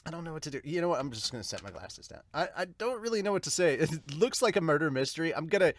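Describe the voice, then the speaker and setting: A man's voice: on the high side, big pitch swings, quick pace. A man giving casual, off-the-cuff reaction commentary as a podcast host and reviewer.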